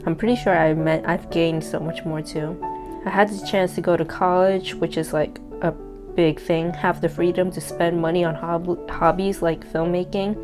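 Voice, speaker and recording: A woman's voice: fairly low pitch, steady tone, moderate pace. A young woman in her twenties whose native language is Cantonese, reading her own old letter aloud and reacting to it in a candid, self-deprecating way. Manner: candid, reflective, self-deprecating with soft laughs. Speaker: vlogger. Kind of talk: casual reading of a personal letter. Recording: guitar music playing behind.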